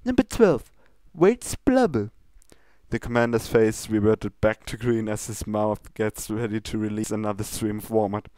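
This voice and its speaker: An amateur storyteller reads fan fiction narration. The voice drops lower and quieter than in the dialogue. The recording is dry, with no room sound. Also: completely flat delivery, normal speed, hardly any pauses, no room sound.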